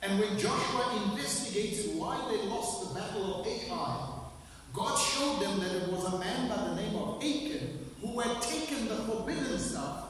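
An older man preaching a sermon from a pulpit, with emphatic pauses that stretch out key phrases. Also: high voice, animated, fairly bright tone. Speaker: pastor. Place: small church hall with stage and pulpit. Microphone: room mic at a distance. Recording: echoey hall, distant mic, faint hiss.

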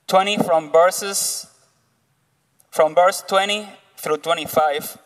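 A man in his thirties with a Cuban accent, preaching as a guest on a church stage with a slow, careful delivery. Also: high voice, fairly even intonation. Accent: Cuban Spanish. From Cuba. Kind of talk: sermon. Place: church stage, large hall. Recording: long reverb of a church hall.

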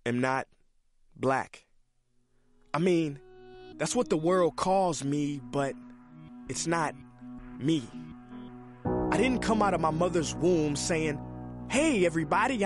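A man delivering a scripted spoken-word monologue in a deliberate, preaching tone. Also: fairly high pitch, lively intonation, soft background music.

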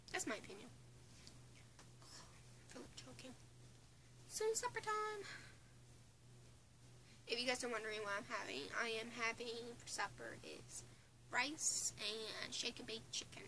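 A woman's voice: fairly high pitch, lively intonation, measured pace, long pauses, pushed and bright, a little hiss or hum. An eighteen-year-old woman with a Newfoundland accent, chatting casually into a camera in her bedroom, rambling with restarts and giggles. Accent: Newfoundland English. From Newfoundland, Canada. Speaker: vlogger. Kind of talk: casual opinion vlog. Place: small bedroom under a bunk bed, indoors. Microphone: phone or webcam close in front.

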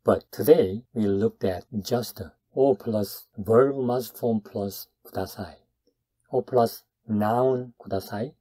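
A man's voice: lowish voice, takes it slowly, mellow, husky. A man teaching grammar in a scripted lesson, explaining step by step in short phrases. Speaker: Japanese language teacher.

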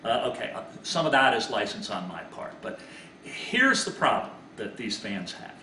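A middle-aged man delivers sports commentary in an informal, teasing way, at a medium pitch. He is recorded in a small, echoey room, a little away from the microphone, with some noise behind his voice. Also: big pitch swings, moderate pace, pushed and bright. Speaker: sports analyst. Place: small indoor home office. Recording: roomy, distant mic, background hiss.

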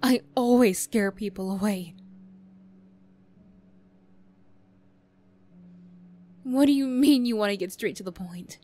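A woman playing a defensive teenage school bully girl who reluctantly opens up, prickly and halting, in an emotional ASMR roleplay. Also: medium pitch, dark tone, natural voice. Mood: upset, defensive. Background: music.

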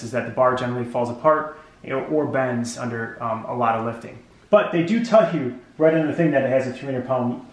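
Rambling man in a very echoey room. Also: mid-range voice. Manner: rambling, many fillers and restarts. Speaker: product reviewer. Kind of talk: casual product review. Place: home gym room indoors. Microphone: camera mic from a few feet.

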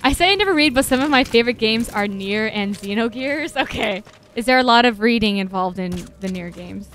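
A woman's voice: a little high, animated, brisk, fairly bright tone, slight background noise. A young woman from the Netherlands, co-hosting a casual gaming stream, chatting and laughing often. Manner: chatty, laughs often, reads out viewer comments. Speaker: livestream co-host.

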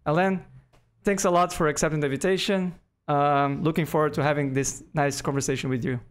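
Podcast host, man in his forties, high voice, formal and measured, studio mic in a home office. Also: smooth. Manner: formal, reads bio at a steady clip. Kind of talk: interview with read introduction. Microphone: studio microphone on boom arm.

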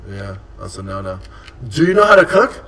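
A man's voice: low voice, very animated, moderate pace, a bit bright, far from the mic. A young man hosting a live stream, chatting casually with slang, swearing and playful teasing from a desk in an indoor room. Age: young adult. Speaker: streamer host.

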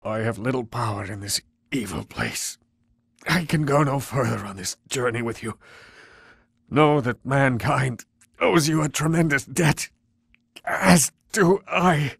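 A man playing a solemn, portentous mystic guide in a video game, speaking in a measured, husky rasp. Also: mid-range voice, animated, no echo, studio quality, exaggerated voice. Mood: solemn warning. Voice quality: raspy, husky. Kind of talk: video game dramatic acting.

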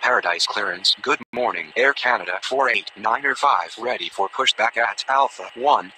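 A man's voice: fairly low pitch, moderate pace, pushed and bright, low-quality recording. A man giving formal, clipped air traffic radio callouts in a scripted style. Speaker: air traffic radio voices in a simulation game.

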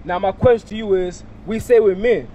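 A man's voice: sits high, fairly quick, warm tone, slight background noise. A young man street preaching outdoors into a handheld mic, emphatic and questioning, often repeating words. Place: outdoors by a parking lot.

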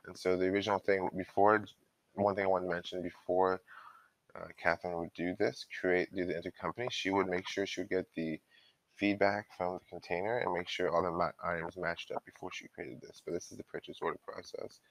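A man giving an informal step-by-step software walkthrough as a trainer, explaining and thinking aloud as he goes. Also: low-pitched, flat delivery, fairly quick, rough recording.

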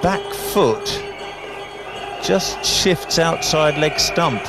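A man giving live television cricket commentary in a measured, factual way with pauses. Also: fairly high pitch, expressive. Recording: loud crowd noise, music, archive broadcast. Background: loud crowd and music.

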